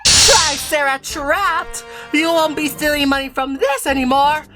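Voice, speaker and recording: Eager high-voiced alligator agent acted by a woman. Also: measured pace, sharp tone, faint music behind. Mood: excited. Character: Mango, eager goofy male alligator spy agent. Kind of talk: cartoon fandub acting.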